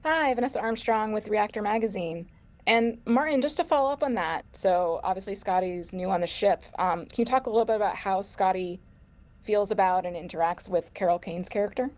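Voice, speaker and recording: A woman asking an interview question as a journalist, brisk and friendly. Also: mid-range voice, a little mellow. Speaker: entertainment journalist. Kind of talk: press junket question.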